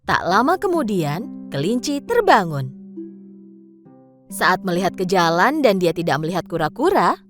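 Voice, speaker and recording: A woman narrating a children's cartoon story in an expressive, sing-song storytelling manner. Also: fairly low pitch, unhurried, long pauses. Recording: faint music behind. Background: faint background music.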